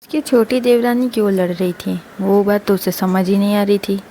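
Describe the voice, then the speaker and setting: A woman's voice: mid-range voice, moderate pace, mellow, rough recording. A woman storyteller narrating a family tale. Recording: clear background noise.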